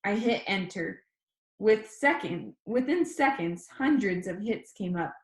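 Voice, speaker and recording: A woman reading a first-person novel aloud as an audiobook, with expressive storytelling delivery. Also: medium pitch, measured pace, echoey room. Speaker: audiobook reader.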